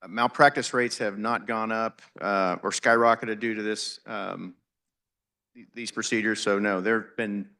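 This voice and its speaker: A man serving as a state legislator, answering questions in a floor debate in a chamber, with some halting repetition. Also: on the low side, fairly even intonation, sharp tone. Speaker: legislator carrying a bill.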